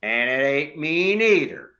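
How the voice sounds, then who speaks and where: Blustery, animated bickering neighbor played by a man. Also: on the high side, unhurried, lo-fi sound, heavily acted. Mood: irritated, defensive. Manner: blustery, exclamatory, profane. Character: loud, defensive, gleeful bickering male neighbor. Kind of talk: comedic stage play acting.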